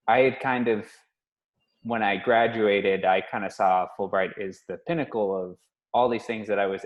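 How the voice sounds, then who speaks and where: Thoughtful man on a muffled call. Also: on the low side. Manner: thoughtful, measured, some restarts. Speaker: workshop participant. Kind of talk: reflective personal story. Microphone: video call.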